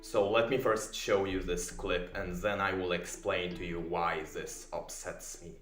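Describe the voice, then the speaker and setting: A young man whose first language is Polish rants earnestly and reflectively about self-improvement. His voice sits a little low. The room sounds echoey, with faint music underneath. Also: steady tone, a little slow, fairly bright tone. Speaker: video essayist. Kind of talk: self-improvement commentary rant.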